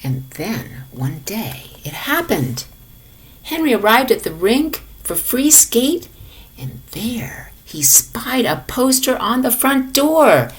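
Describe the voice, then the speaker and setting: Woman, children's story reader, higher-pitched voice, expressive, unhurried delivery. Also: breathy edge. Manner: expressive, asks the young listeners questions. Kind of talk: children's picture book read-aloud.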